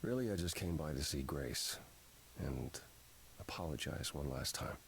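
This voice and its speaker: Very deep, weary, melancholy god. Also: male voice, lively intonation, unhurried, creaky, a little hiss or hum, heavily acted. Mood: weary, regretful. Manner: subdued, hesitant, polite. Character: weary, melancholy, apologetic god, a brooding brother. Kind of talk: video game voice acting.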